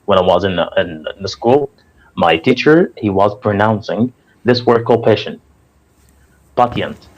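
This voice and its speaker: A man's voice: fairly low pitch, animated, a little slow. A young Egyptian man with an Egyptian accent, a native Arabic speaker, talking as a guest in an earnest way and filling his speech with "like" and "you know". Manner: earnest, filler-heavy with frequent "like" and "you know". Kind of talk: casual conversation. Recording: slightly dull, muffled audio.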